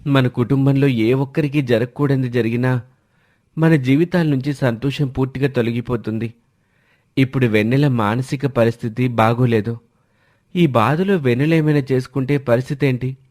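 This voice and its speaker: A concerned, patient family man in a moral story, played by the male storyteller. His voice sits at a medium pitch as he reasons with his relatives as husband and brother. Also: normal speed, darkish tone, close to an everyday voice. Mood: grave, persuading. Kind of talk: dramatized family story dialogue.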